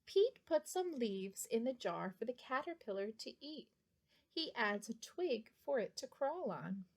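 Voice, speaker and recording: A woman's voice: a little high, big pitch swings, measured pace. A woman reading a picture book aloud for young children, addressing her young listeners directly. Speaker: children's storybook reader.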